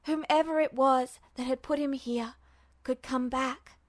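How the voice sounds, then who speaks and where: A woman reading fiction aloud as a scripted story narrator, measured, with dramatic pauses. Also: high-pitched, steady tone. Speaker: amateur audiobook reader.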